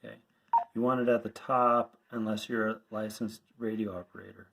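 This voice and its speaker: A man's voice: lowish voice, steady tone, brisk, creaky, fairly bright tone. A man giving an informal hands-on tutorial, explaining things plainly and step by step as an instructor. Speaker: radio instructor.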